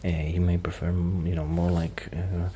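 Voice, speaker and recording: A man speaking softly in a breathy, near-whispered voice, rambling shyly and hesitantly in an ASMR roleplay. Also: deep voice, flat delivery, moderate pace. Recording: noticeable background noise.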